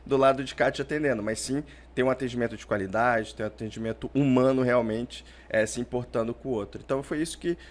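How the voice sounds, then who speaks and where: A man's voice: mid-range voice, fast talker, few pauses. A man, a podcast guest who answers in a chatty way, often tagging lines with "né?". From Portugal, raised in Brazil. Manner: chatty, explains with "né?" tags. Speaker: podcast guest, startup founder. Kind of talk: conversational interview answers.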